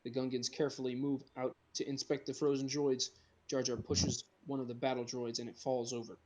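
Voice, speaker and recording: A man's voice: medium pitch, flat delivery, brisk, a little mellow. A man reading screenplay directions aloud as the narrator of a group script reading.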